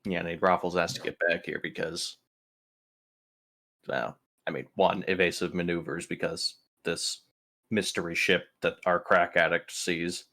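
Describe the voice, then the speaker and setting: A man's voice: sits low, steady tone, unhurried, creaky, bright, forceful tone. A man playing a tabletop roleplaying game, chatting casually and reasoning through the situation with some swearing.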